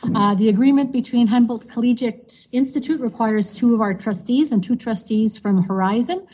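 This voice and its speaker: Woman as board chair, husky mellow voice, dull lo-fi recording, procedural. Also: a little high, normal speed. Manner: procedural, many fillers and thank-yous. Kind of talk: chairing a formal board meeting.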